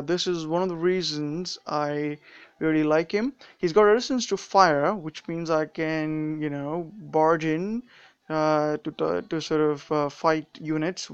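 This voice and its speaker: A young man streaming a mobile game, his accent a mix of British and Indian. He rambles through the menus with plenty of ums and restarts, in a smooth, clean voice. The sound is dry but a little dull, like a modest home mic. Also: fairly high pitch, no echo. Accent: mixed British and Indian. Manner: rambling, frequent fillers and restarts. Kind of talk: casual live-stream commentary.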